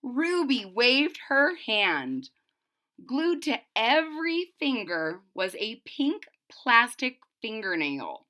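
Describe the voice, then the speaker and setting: A woman's voice: a little high, sing-song, takes it slowly, bright, forceful tone. A woman reading a storybook aloud to young children, expressively, with simple explanatory asides. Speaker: storybook reader.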